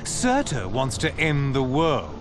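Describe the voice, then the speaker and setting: A man's voice: mid-range voice, wildly swooping pitch, takes it slowly, noisy background. An actor voicing a sly, sardonic male trickster god in a video game, smug, wry and theatrical. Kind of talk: video game cutscene acting.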